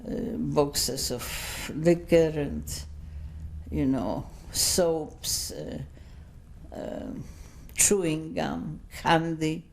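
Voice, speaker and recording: Older woman, seventies, second-language English, rambling anecdotes, gravelly voice, unhurried. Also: sits low, a little mellow, slight background noise, low-quality recording. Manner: slow, rambling, anecdotal with many asides. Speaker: interviewee giving oral history testimony. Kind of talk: unscripted recollection.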